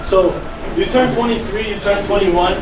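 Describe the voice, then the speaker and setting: A man's voice: high voice, fairly even intonation, normal speed. A man born and raised in the US gives a casual talk to a live audience, speaking conversationally and tagging his lines with a rhetorical "right?". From United States. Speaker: youth speaker. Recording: echoey room recording from a distance with air-conditioning hum.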